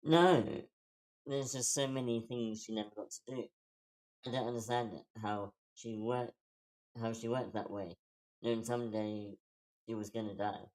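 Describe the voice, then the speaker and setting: A man's voice: on the low side, steady tone, moderate pace, a little mellow, natural voice, poor audio. A man playing a self-deprecating, anxious young man in a casual screenplay table read. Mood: awkward, guarded.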